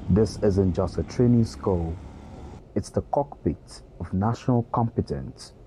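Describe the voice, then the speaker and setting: A man's voice: lowish voice, warm tone, low-quality recording. A man with a Nigerian accent narrating a scripted news feature as a reporter, with background noise.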